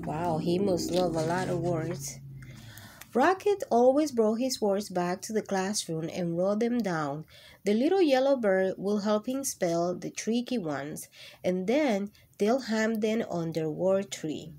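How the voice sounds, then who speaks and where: Slow-paced woman reading a children's story. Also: medium pitch. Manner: expressive, pausing to ask the young listeners questions. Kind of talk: read-aloud picture book storytelling for children.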